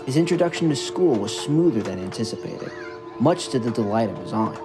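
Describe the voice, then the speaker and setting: A man reading a scripted story narration in a measured, earnest way. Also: medium pitch, expressive. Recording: music bed under the narration. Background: background music.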